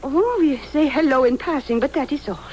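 An actress playing an anxious, prim middle-aged schoolteacher in an old radio drama, hesitant and polite. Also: female voice, high-pitched, fairly quick, darkish tone, lightly acted. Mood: prim, eager. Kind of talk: 1950s radio drama acting. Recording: noisy old radio recording.

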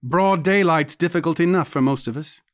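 A man's voice: fairly high pitch, expressive, moderate pace, dry recording, studio quality, close to an everyday voice. A man voicing a stiff, rather pompous and awkward young man in an audiobook. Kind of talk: audiobook character reading.

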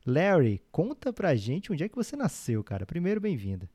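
A man's voice: a little high, huge pitch swings, moderate pace, warm tone, no echo, clean studio sound. A man with a Brazilian accent co-hosting a casual, joking sports podcast. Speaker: podcast co-host.

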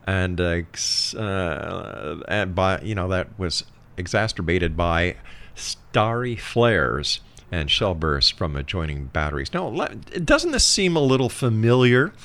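Male radio host, fairly deep register, emphatic presenter delivery in short phrases. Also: big pitch swings, slow pace, a bit bright. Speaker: radio talk show host. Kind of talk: radio presenting, announcing and reading notes.

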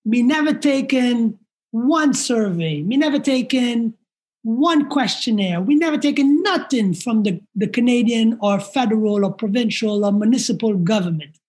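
A man voicing an old Jamaican woman with a put-on Jamaican accent, speaking slowly and with feeling. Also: extremely high pitch, expressive, darkish tone. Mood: heartfelt. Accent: Jamaican, imitated.

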